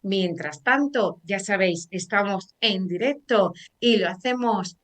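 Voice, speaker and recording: Una mujer con acento de España presentando en directo un programa de radio, charlando con soltura y muchas muletillas. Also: female voice, mid-range voice, unhurried. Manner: chatty and warm, frequent fillers like "eh" and "pues bueno". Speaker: radio show host. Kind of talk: live radio talk show hosting.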